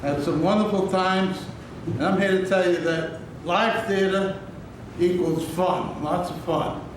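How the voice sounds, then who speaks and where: A man's voice: high voice, steady tone, a little slow. A man telling joking anecdotes to a live audience in a chatty, casual way. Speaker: stage performer telling anecdotes. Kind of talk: casual stage storytelling. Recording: echoey hall from far off, noisy.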